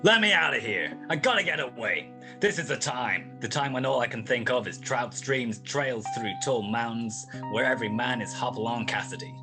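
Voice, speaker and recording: A man's voice: mid-range voice, normal speed, few pauses, music in the background, close to an everyday voice. An actor playing a wry, tough-talking private detective who narrates his cases in a 1950s radio drama style. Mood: restless, longing. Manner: slangy, wry, clipped tough talk.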